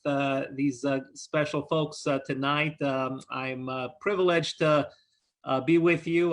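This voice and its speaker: A man's voice: fairly high pitch, a bit bright. A man in his forties from Romania, a lawyer guest answering interview questions earnestly with frequent hesitations. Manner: earnest, hesitant with many fillers.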